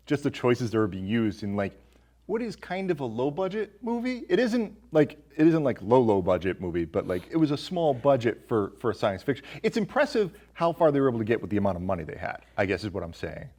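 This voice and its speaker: A man's voice: mid-range voice, big pitch swings, a little fast, darkish tone. A man hosting a casual film discussion, conversational and jokey, often posing questions. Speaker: podcast host.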